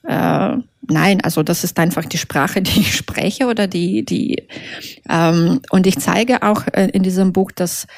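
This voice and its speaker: A woman from Belarus, a native Russian speaker, answering interview questions in halting, careful German, with many fillers and self-corrections. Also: on the low side, gravelly, dry recording, studio quality. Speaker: author being interviewed.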